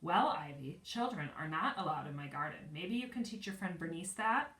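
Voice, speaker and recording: A woman reading a storybook aloud as a strict, unfriendly neighbour lady, high-voiced, clipped and condescending. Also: expressive, moderate pace, a bit bright. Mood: stern, condescending. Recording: roomy echo, distant from mic.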